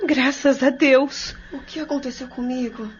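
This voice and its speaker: A woman's voice: high voice, steady tone, unhurried, a little hiss or hum. An actress playing a frail, dazed young woman in an audio drama, drowsy and hesitant. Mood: dazed, faint. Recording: dull recording with music behind.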